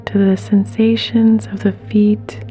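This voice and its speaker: Slow, mellow woman guiding meditation. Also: medium pitch, flat delivery, faint music behind. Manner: gentle, soothing, unhurried pauses. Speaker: guided meditation instructor. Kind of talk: guided meditation.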